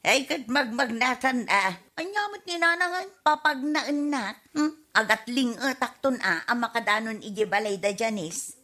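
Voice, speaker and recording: A woman's voice: fairly high pitch, lively intonation, moderate pace, pushed and bright. A woman with an Ilocano accent playing a nagging, complaining wife and auntie in a whiny, scolding comedy radio drama style.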